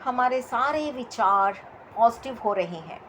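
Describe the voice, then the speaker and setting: Female meditation guide, fairly high voice, very slow soothing delivery, some ambient noise behind. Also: lively intonation, fairly bright tone. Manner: soothing, repetitive, unhurried phrasing with pauses.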